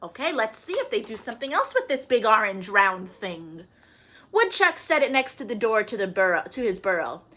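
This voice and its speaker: A woman hosting story time for young children, animated and sing-song, talking to them with questions and encouragement. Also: medium pitch, moderate pace. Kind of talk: children's storytime read-aloud with singing and asides.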